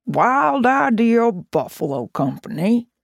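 Slow gravelly speaker, dry studio voice-over, steady roll-call reading. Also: medium pitch, no echo. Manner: slow, even listing of names. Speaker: representative.

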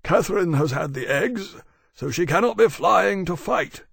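A man voicing a huge, hearty, blunt male dragon with a booming, drawn-out delivery in an audiobook. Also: animated, creaky, a bit bright, husky, dry recording, studio quality, heavily acted. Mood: friendly.

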